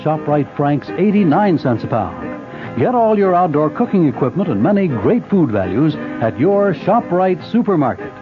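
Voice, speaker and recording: A man's voice: a little high, sing-song, moderate pace. A man reading a 1970s radio commercial as its announcer. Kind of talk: 1970s advertising read. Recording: muffled old radio broadcast. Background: loud jingle music.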